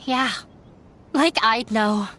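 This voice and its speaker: A woman's voice: a little high, extremely slow, fairly bright tone, a little hiss or hum. A woman playing a sad, self-blaming young runaway in video game dialogue, speaking in a resigned, sorrowful manner. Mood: bitter, sorrowful.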